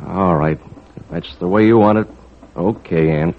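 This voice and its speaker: An actor playing a plain-spoken, kindly fishing skipper who also narrates, in old-time radio drama style. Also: male voice, low-pitched, normal speed. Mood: protesting. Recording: muffled old radio recording with music.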